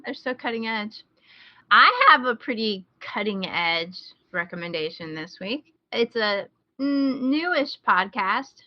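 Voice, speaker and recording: A woman's voice: mid-range voice, expressive, measured pace, dry recording, lo-fi sound. A woman with an American accent chatting casually as a podcast co-host, recommending things she enjoys. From rural Midwest United States.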